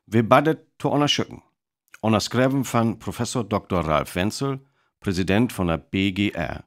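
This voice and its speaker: Actor as a formal North Frisian radio reporter. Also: male voice, fairly low pitch, moderate pace, close to an everyday voice. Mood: serious, formal. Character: earnest male radio reporter doing a live interview. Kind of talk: radio play acting as live news reporting.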